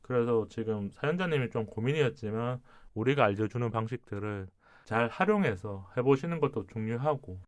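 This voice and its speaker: A man hosting a casual advice talk show, speaking calmly and thoughtfully, with a regional Korean dialect and pauses in the middle of his sentences. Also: mid-range voice. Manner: calm, reflective, pauses mid-sentence. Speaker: podcast host.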